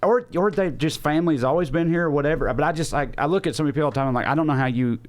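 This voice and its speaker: A man's voice: a little high, racing. Casual Southern US man, podcast host. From Tennessee, United States. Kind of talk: casual comedic podcast chat.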